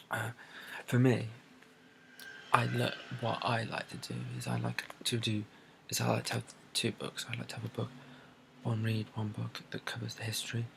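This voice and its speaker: A soft-spoken British man in a breathy ASMR bookshop roleplay, describing books in a hesitant, rambling way and repeating his phrases. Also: on the low side, a little hiss or hum. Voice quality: breathy, soft, often dropping to a whisper. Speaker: ASMR creator playing a bookshop assistant.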